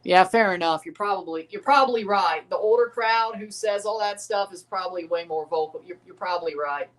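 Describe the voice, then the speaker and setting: A man hosts a relaxed livestream reaction, talking in loose, profane rambles. He keeps doubting his own points as he goes. His voice sits a little back from the microphone. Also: high-pitched, brisk, distant mic, poor audio. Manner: rambling, profane, second-guessing himself. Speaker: livestream host reacting to a match. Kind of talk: casual livestream reaction chat.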